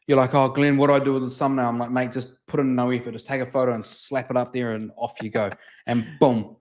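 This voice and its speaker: A man's voice: mid-range voice, little pitch movement, fast talker, darkish tone. A laid-back man with a New Zealand accent and a mid-range voice. He jokes easily with his co-host in casual livestream talk. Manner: laid-back, joking banter. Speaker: detailing channel co-host.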